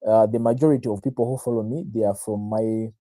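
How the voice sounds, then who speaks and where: Ugandan man, Luganda native, very mellow dark tone, mid pitch, dry sound; confident, informal trading-stream explainer who repeats himself. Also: steady tone, quick pace, no echo. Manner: self-assured, addresses viewers directly, repeats phrases, checks in with "right?". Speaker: trader, livestream host. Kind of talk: informal live market analysis, explaining to viewers.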